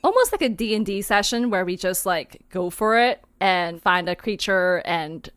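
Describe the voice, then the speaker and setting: A woman's voice: mid-range voice, expressive, moderate pace, a bit bright, clean tone, dry recording. A woman hosting a podcast in a casual, chatty style. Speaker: host of a fiction podcast, cast member.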